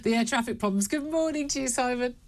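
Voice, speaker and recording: A woman's voice: fairly high pitch, fast. A British woman presenting a live news interview in a chatty, emphatic and opinionated way.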